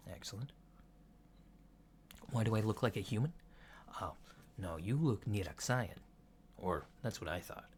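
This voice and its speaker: A man acts out a courteous alien doctor for an ASMR checkup. The voice is soft and fairly low, moving very slowly through methodical questions with hesitant, reassuring pauses. Noise is clearly audible behind the lo-fi recording. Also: expressive. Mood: calm, reassuring. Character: polite, unhurried human-looking alien doctor giving a checkup. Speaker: ASMR performer. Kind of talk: soft-spoken ASMR roleplay.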